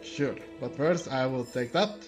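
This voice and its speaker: A man's voice: medium pitch, unhurried. A man streaming a video game, commenting casually and reading in-game text aloud. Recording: game music faintly behind.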